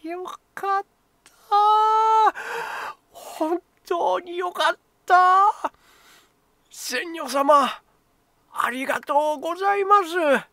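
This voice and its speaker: A man voicing a gentle, tender-hearted old man in a storybook tale, plaintive and emotional in his delivery. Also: very high-pitched, dry recording, clean studio sound, voice pushed up, exaggerated voice. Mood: relieved, grateful. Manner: plaintive, emotional, drawn-out pleading.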